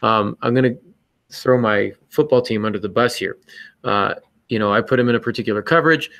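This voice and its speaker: A man's voice: lowish voice, a little fast, a bit bright, no echo. A man co-hosting a podcast, speaking casually but emphatically and bluntly, acting out little exchanges as he gives advice. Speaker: podcast co-host and writer.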